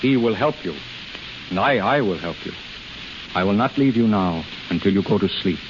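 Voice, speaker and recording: Man acting an intense low-voiced healer, old radio. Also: lively intonation, background noise, close to an everyday voice. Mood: hushed, soothing. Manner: halting, emphatic, repeats phrases. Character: intense, commanding, tender male healer to an invalid woman. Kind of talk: 1930s radio drama acting. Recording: muffled 1930s radio transcription, music behind.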